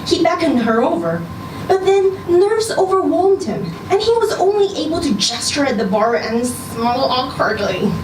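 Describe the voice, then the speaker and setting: A woman giving an expressive narration of a scripted short story at a live reading. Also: on the high side. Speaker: story reader at a live literary event. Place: indoor social venue, live reading event. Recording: roomy live venue, distant mic, noisy background.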